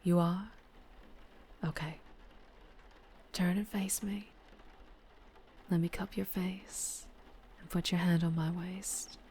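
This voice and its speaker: Woman as a slow, flirty Southern vampire. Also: on the low side, steady tone, slight background noise. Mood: tender, hushed. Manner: soft, intimate, often dropping into breathy whispers. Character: flirtatious, protective female vampire biker, tender and alluring. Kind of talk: ASMR roleplay.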